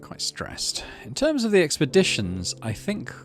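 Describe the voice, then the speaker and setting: A man's voice: fairly high pitch, huge pitch swings, a little slow. A man hosting a gaming let's play, chatting and thinking aloud between stretches of read-out narration. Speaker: gaming video host. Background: game music.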